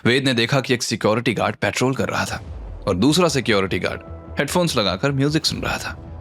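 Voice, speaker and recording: A man's voice: mid-range voice, a bit bright. A man narrating a scripted story in a dramatic audio series style. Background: background music.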